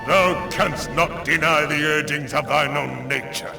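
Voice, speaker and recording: An ancient male deity of storms for a game, grave and judgmental. The archaic lines are declaimed very slowly in a somewhat high male register. The voice rings with echo over music. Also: creaky. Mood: wrathful, condemning. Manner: grave, pronouncing, judgmental, deliberate. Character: ancient male thunder god, stern guardian of a forest. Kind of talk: solemn archaic theatrical declamation. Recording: roomy, distant echoing voice under loud music.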